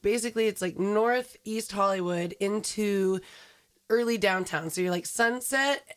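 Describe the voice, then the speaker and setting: A woman chatting casually and playfully as a podcast host, with a candid manner. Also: on the high side.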